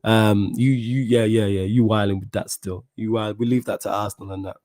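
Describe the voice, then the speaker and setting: A man's voice: on the low side, brisk. A man hosting a live football podcast stream, reading out viewer comments and answering in heavy slang. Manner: animated, heavy slang, keeps saying "you know what I'm saying". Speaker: podcast host.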